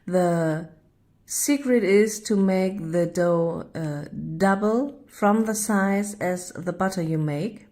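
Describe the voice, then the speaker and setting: Woman, German accent, native German speaker, unhurried, warm mellow tone, hesitant and searching for words. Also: medium pitch. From northern Germany. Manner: searching for English words, apologetic, hesitant with many uh fillers. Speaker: cooking vlogger. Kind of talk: narrating a cooking video.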